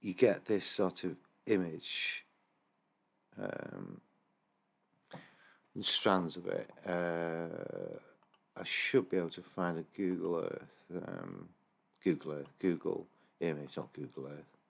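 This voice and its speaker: A man's voice: low-pitched, lively intonation, slow, gravelly, slightly rough. A man, a paranormal investigator, rambling with many fillers and restarts as he reviews security camera footage at his computer. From England. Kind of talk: casual screen-recorded review commentary.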